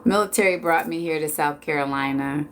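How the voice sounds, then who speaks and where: A woman's voice: sits low, brisk, fairly bright tone, a little hiss or hum. A woman in her late forties from Washington D.C., chatting casually and jokingly with viewers on a live stream. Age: 40s. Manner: chatty, laid-back, joking.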